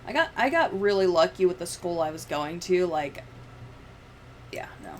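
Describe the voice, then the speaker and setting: A woman's voice: on the low side, animated, moderate pace. A young woman chatting casually on a livestream in a sweary, sardonic manner. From United States. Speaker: livestreamer editing a video. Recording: clear background noise, room ambience.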